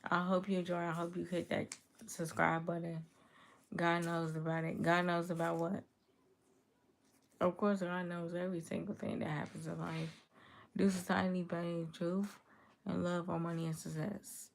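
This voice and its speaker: Low, gravelly woman from Chicago. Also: little pitch movement, normal speed. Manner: easygoing, chatty, reads chat comments aloud and answers them. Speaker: livestream host. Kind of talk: casual livestream chat with viewers.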